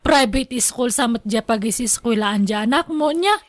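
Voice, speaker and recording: A woman playing a discontented, sharp-tongued village wife and mother in a radio drama. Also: fairly high pitch, expressive, normal speed, no room sound, studio quality, natural voice. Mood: chatty, gossipy.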